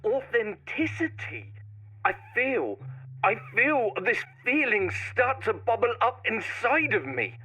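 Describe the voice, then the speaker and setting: A man playing a brooding, obsessive horror writer who turns menacing in a slow, deliberate first-person scripted monologue with dramatic pauses. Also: extremely high pitch, wildly swooping pitch, pushed and bright, slightly rough, heavily acted, low-quality recording. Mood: dark musing, then rising menace.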